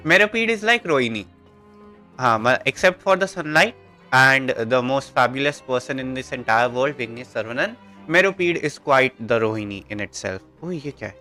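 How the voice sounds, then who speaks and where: A man with a North Indian accent chats through a game livestream. He talks extremely fast in a bright, mid-pitched voice, rambling between two languages. Game music sits behind him. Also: expressive. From India, Delhi. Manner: rambling, mixes Hindi and English. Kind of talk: casual live gameplay commentary.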